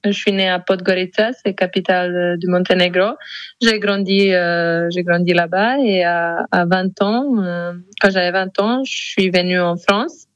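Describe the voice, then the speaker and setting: A woman's voice: lowish voice, fairly even intonation, normal speed, dry recording, highs cut off. A woman in her thirties with a Montenegrin accent, a handball player answering radio interview questions with many fillers and restarts. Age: 30s.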